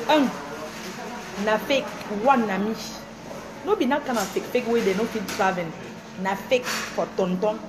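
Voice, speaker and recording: A woman from Cameroon with a Cameroonian French accent, lecturing her followers emphatically and repetitively in a live video from a train station. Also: mid-range voice. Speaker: social media personality. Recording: noisy station ambience behind her.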